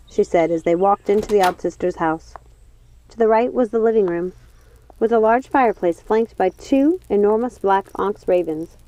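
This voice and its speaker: A woman reading a storybook aloud as an expressive audiobook narrator. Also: mid-range voice, no echo, highs cut off.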